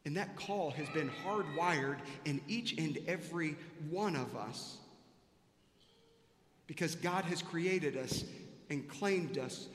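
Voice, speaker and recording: Male pastor, high-pitched, earnest and repetitive in sermon delivery, recorded in a very reverberant church. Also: slow, a bit bright, slight background noise. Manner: rhetorical repetition, earnest questioning. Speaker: pastor preaching to a congregation. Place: large reverberant church sanctuary. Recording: echoey hall with long reverb.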